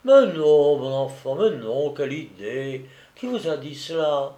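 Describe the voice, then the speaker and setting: A man reading aloud, voicing a gentle young woman who speaks tenderly through grief. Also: a little high, expressive, unhurried. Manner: tender, pleading. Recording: echoey room.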